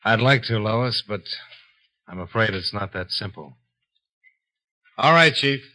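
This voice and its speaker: Clipped, forceful radio detective man. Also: lowish voice, a little slow, poor audio. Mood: probing, guarded. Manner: clipped, dry questioning. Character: cool, probing male insurance investigator narrating his case. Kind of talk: 1950s radio detective drama acting.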